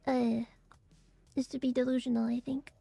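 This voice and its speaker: A young woman streamer chatting casually and rambling with fillers, her voice a little hoarse and raspy from allergies. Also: high voice, little pitch movement, moderate pace, mellow, dry recording. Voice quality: raspy, phlegmy throat. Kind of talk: casual chat to stream viewers.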